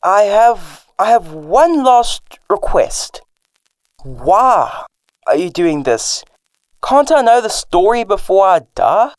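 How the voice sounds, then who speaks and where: A woman playing an earnest investigator hero in a radio drama, with a deliberate delivery. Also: mid-range voice, sing-song, a little mellow, no echo. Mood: pleading.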